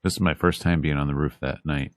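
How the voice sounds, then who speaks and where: A man reading a visual novel aloud as a let's player, in measured, dramatic storytelling narration over game music. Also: rumbling low, steady tone, dry recording.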